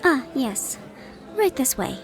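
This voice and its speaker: A woman acting in an audio roleplay as a polite restaurant hostess with brisk, formal courtesy. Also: high voice, sing-song, over music, exaggerated voice.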